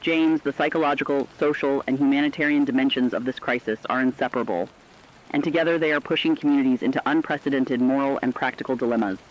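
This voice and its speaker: A man playing a serious radio correspondent, giving a scripted crisis report in a formal, measured way through dull radio sound. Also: medium pitch, steady tone, close to an everyday voice. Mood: grave, insistent. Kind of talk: scripted emergency news report.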